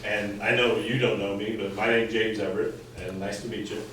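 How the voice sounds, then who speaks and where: An American man speaking up as a resident at a community meeting, halting and repeating words as he presses his skeptical point. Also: fairly low pitch, little pitch movement. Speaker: resident questioning a school board. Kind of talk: impromptu public comment at a community meeting. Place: indoor community meeting room, recorded from afar. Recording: echoey room, distant mic, faint noise.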